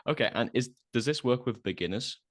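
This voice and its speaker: A man hosting an interview, speaking clearly in a friendly, encouraging way. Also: mid-range voice, expressive, a little fast. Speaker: interview host, English teacher.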